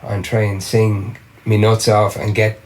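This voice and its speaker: A man's voice: on the low side, a little slow, rough recording. A middle-aged Irish man, a singer and drummer, chatting casually as a podcast guest, rambling with lots of restarts. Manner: rambling, restarts often, animated storytelling. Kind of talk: casual interview chat.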